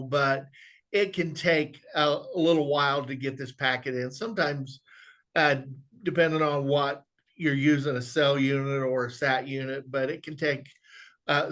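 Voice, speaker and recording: A man presenting an instructional software walkthrough, explaining step by step in a conversational way. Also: on the high side. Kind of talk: instructional screen walkthrough, informal webinar.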